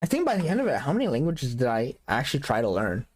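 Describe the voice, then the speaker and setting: A man's voice: medium pitch, wildly swooping pitch, fast talker, a little mellow. A young adult man streaming a game in casual chat, joking about himself and laughing often. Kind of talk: casual live-stream chat while gaming.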